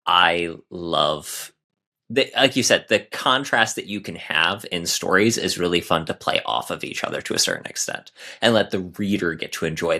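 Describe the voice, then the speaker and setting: Male author guest, deep yet forceful voice, articulate, conversational. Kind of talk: conversational interview answers.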